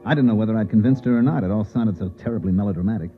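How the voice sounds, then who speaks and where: A man's voice: fairly low pitch, rapid-fire, soft background music, close to an everyday voice. An actor playing an insistent, earnest newspaperman in an old-time radio drama, with urgent, clipped delivery. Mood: narrating. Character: insistent, earnest newspaperman narrating his strange night. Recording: muffled, narrow-band old radio recording.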